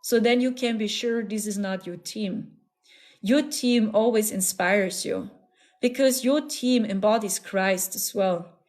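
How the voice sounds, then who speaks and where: A woman's voice: mid-range voice, measured pace, a little mellow. A woman with a German accent hosting a live stream as a spiritual channeler, talking in an earnest, repetitive, soothing way with frequent little laughs. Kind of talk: casual live-stream talk.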